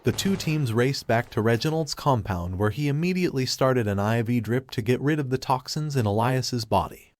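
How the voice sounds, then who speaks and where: A male narrator tells the story at an even, measured pace, with a mid-pitched voice. Faint noise and a few clicks sit behind the narration.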